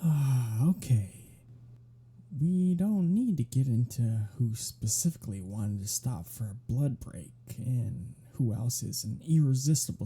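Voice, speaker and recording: A performer voicing a teasing, unrepentant vampire in an ASMR roleplay audio drama, with a husky, breathy voice and a sardonic, casual manner. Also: medium pitch. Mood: teasing, fond. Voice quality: husky, breathy, dark-toned. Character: teasing, unrepentant vampire predator travelling with human hunter.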